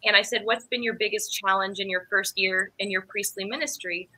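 A woman's voice: mid-range voice, fairly even intonation, fairly quick, bright, forceful tone, low-quality recording. A young adult woman speaking earnestly on a video call panel, chatting with fillers. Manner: earnest, conversational, many fillers. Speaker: lay ministry panelist. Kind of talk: casual panel discussion. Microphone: webcam on video call.

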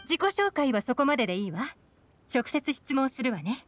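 A woman's voice: sits high, sing-song, moderate pace. A shrewd young woman inspector in a fantasy game. She is poised and cool, with a teasing edge. Faint music plays behind her. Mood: probing. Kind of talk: Japanese video game voice acting.

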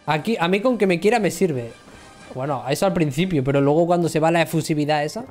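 A man in his thirties jokes with his viewers in casual banter while he plays, often mocking himself. His voice sits a little high. Faint game music plays behind him as he talks into a desk mic at home. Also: fast talker, a little mellow. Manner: joking, self-mocking. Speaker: livestream gamer. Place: room at home, seated in gaming chair.

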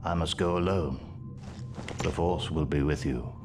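An actor playing a calm, wise old mentor knight in a space adventure game, measured and grave. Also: male voice, very deep voice, music in the background. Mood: serene. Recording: loud orchestral game score behind.

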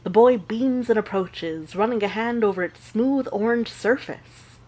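A woman playing a witch who narrates an audio drama with measured, deliberate storytelling. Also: on the high side, darkish tone, rough recording.

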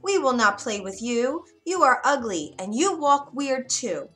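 A mocking young duckling bully from a children's storybook, played by a woman. The voice is pushed high and loud, taunting all the way, over music. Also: very animated, measured pace, full character voice. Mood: scornful. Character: mean, mocking yellow ducklings bullying a sibling.

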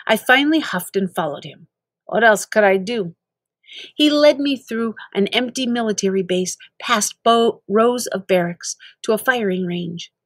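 A woman's voice: mid-range voice, unhurried, no room sound, studio quality. An older woman reading a children's story aloud as narrator. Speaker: story reader.

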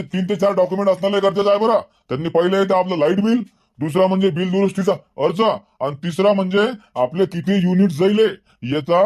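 A man's voice: sits high, close to an everyday voice. A man voicing a talkative, level-headed villager in a comedy cartoon, earnest and wordy, with a Varhadi Marathi accent. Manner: earnest, wordy, repeats himself.

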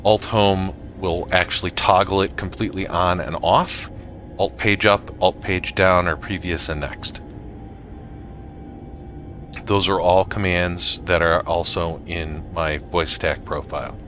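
Slow, low-voiced man giving a tutorial. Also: fairly even intonation, fairly bright tone, slight background noise. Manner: halting, pausing mid-sentence. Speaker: gamer making a tutorial video. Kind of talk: casual software tutorial narration.

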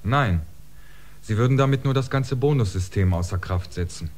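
An actor playing a polite, sceptical aide to a spaceship commander in a science-fiction radio play. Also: male voice, fairly low pitch, normal speed, a little mellow, close to an everyday voice. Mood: deferential.

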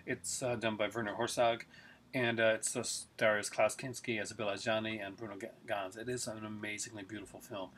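A man's voice: fairly low pitch, flat delivery, brisk, a bit bright, slight background noise. A middle-aged man talking casually and eagerly about his film collection, rambling with many fillers, recorded in his living room on a webcam. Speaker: collector reviewing films. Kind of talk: casual video review. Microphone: webcam at close range.

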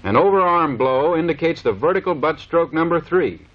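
A man's voice: a little high, animated, moderate pace. A man giving scripted, matter-of-fact instructional narration for a training film. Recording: dull lo-fi 1930s film soundtrack.

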